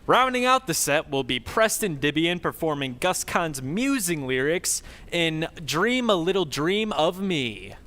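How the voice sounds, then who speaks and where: A man's voice: on the high side, sing-song, a little slow, hardly any pauses, sharp tone. A man hosting a stage radio show, announcing acts in a scripted presenter style. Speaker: host, announcer.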